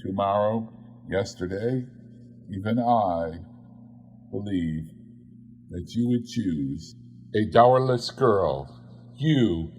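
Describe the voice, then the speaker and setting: A man voicing a solemn young woman in a storybook, speaking in a measured, formal, sorrowful way. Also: lowish voice, expressive, a little mellow, slight background noise. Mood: sorrowful, resolute. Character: solemn young woman releasing her lost love.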